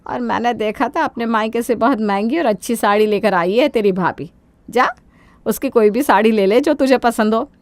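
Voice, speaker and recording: A woman voicing a greedy, domineering older mother-in-law in a cartoon story, with sharp-tongued commands. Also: on the high side, normal speed, no room sound, lightly acted. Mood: scheming.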